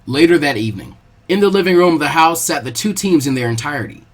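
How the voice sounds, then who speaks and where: A man's voice: fairly high pitch, sing-song, quick pace. A man reading scripted fan fiction narration aloud as a storyteller.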